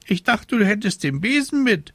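A man voicing a fussy, scolding talking raven in a croaky, indignant storybook performance. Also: high-pitched, very animated, moderate pace, a bit bright, dry recording, pitched above the performer's own voice, exaggerated voice. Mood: scolding, alarmed. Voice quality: croaky, squawking. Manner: indignant, nagging.

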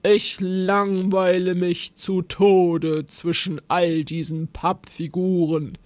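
A woman's voice: fairly low pitch, flat delivery, takes it slowly, darkish tone, no room sound. A woman voicing a huge, bored, bullying monster in a children's story, growling and snapping out orders. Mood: grumpy, bored. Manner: growling, grunting, snapping commands. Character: huge bored, bullying male-voiced fairground monster, hungry for company.

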